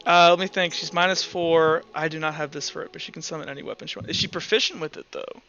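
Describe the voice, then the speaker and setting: A man's voice: on the high side, fairly even intonation, fast talker, bright, forceful tone, dull audio. A man talking casually as game master, running a tabletop roleplaying game.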